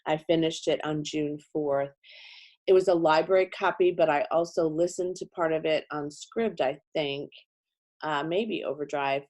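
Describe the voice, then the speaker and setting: Woman, middle-aged, low voice, a little hoarse, stuffy nose, chatty book talk. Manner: chatty, self-correcting, warm talk about books. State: slightly hoarse and congested. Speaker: book channel host. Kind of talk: casual book wrap-up.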